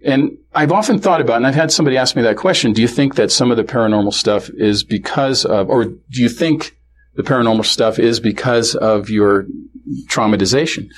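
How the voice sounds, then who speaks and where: A man being interviewed, recalling childhood experiences candidly, halting with many fillers and restarts. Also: mid-range voice, a little hiss or hum. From grew up bilingual in a Norwegian family. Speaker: interview guest. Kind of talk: candid personal recollection.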